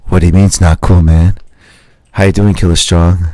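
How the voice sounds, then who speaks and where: A man's voice: sits low, little pitch movement, moderate pace, mellow, dry recording. A young man from Pennsylvania hosting a casual live-stream podcast, reading viewer comments aloud and laughing often. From Pennsylvania, USA. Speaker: podcast host.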